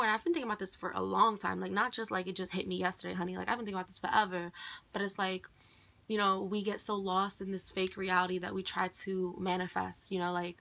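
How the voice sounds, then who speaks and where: Young college girl, first language khmer, bright voice, rambly, lots of likes and ums. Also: mid-range voice. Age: young adult. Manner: rambling, heavy on "like" and "um". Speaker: college student vlogger. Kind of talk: casual rambling monologue.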